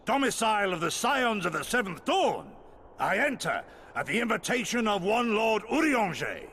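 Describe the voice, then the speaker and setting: An actor voicing a hearty, theatrical warrior from the East in a video game, with a booming voice and archaic, grandiloquent speech. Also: male voice, exaggerated voice. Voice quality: booming, very loud voice. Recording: long hall reverb, ambient noise.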